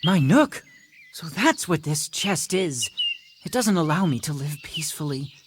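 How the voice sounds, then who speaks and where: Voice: medium pitch, animated, a little slow, background noise, close to an everyday voice. An actor playing a small, put-upon, grumbling plant creature in a fantasy game. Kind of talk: fantasy game character dialogue.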